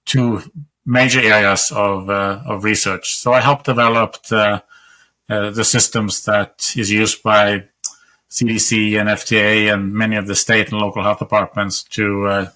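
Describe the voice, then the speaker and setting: A man in his sixties from Sweden, speaking with a Swedish accent, explaining his research in a measured way as a guest on a video call. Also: lowish voice, flat delivery, bright, forceful tone. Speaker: guest, epidemiologist. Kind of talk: interview answers over video call. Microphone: webcam on video call.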